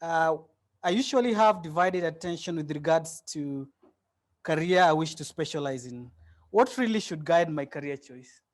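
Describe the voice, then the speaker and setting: A man's voice: a little high, animated, moderate pace. Man moderating with polite formal questions. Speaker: event moderator.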